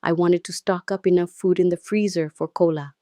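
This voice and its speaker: A woman's voice: on the low side, steady tone, fairly quick, dark tone, no room sound, studio quality. A woman with a Nigerian accent telling a dramatic first-person story as its narrator.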